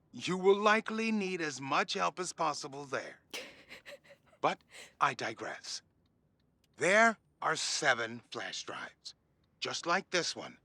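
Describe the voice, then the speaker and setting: High, animated, eccentric mentor. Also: male voice, no echo, heavily acted. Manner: theatrical, verbose, playful. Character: eccentric late male radio newsman, fond, theatrical, whimsical. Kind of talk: audio drama acting, recorded video message.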